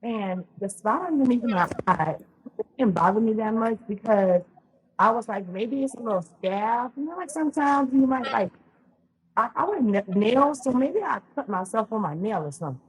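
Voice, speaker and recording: A woman's voice: fairly high pitch, lively intonation, moderate pace, breathy edge, rough recording. A woman, a podcast guest telling her life story in a chatty way, full of ums and restarts. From Louisiana, US. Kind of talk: casual storytelling interview.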